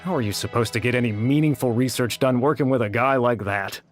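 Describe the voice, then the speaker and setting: A man's voice: mid-range voice, fast, soft background music, lightly acted. Blunt, disgruntled male researcher played by an actor. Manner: blunt, dismissive. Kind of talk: video game character acting.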